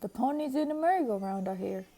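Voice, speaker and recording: A woman reading a storybook aloud and voicing a kindly, easygoing father bear in a gentle fatherly way. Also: on the high side, expressive, quick pace.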